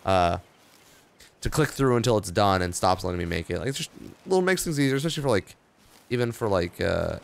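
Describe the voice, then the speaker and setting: Hoarse, gravelly-voiced tired young man. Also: on the low side. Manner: rambling, offhand, reading patch notes aloud with asides. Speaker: gaming video host. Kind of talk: casual gameplay commentary.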